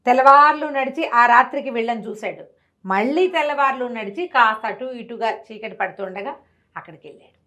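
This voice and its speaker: A woman storyteller telling a devotional tale in an animated way, acting out the characters' voices as she goes. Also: fairly high pitch, fairly quick.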